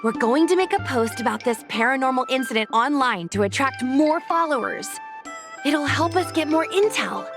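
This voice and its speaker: A woman's voice: high voice, lively intonation, normal speed. A woman voicing a bossy, enthusiastic young ghost hunter girl in an anime-style video game. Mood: eager. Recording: dry voice with faint music.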